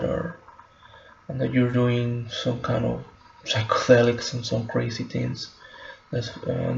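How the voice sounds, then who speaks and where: Halting man, flat and gravelly. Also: medium pitch, a little hiss or hum. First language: Spanish. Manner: halting, many fillers and restarts. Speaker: vlogger. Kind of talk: personal reflective monologue. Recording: roomy, muffled home recording.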